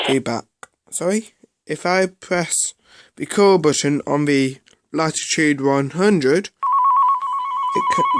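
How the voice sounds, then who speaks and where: A man giving a casual, unscripted product review in a halting way, often repeating himself. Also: fairly high pitch, background noise.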